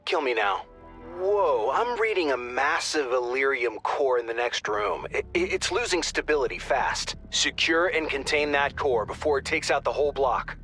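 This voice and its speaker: A quick-witted squad agent with a dry sense of humor and a very lively, swooping delivery. His pitch sits in the middle range, and soft music runs beneath. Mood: wry. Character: wry, joking male squad agent.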